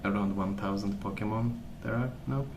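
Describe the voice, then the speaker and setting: Soft-spoken low-voiced young man, echoey ASMR. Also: steady tone. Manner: gentle, unhurried, musing aloud. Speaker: ASMR video creator. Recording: echoey room, clear background noise.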